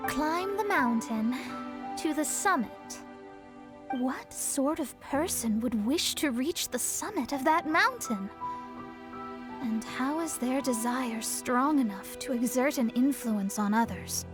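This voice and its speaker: A woman's voice: sits high, animated, slow, music in the background. A woman voicing a haughty, self-assured young astrologer in a video game, proud and theatrical. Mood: pondering.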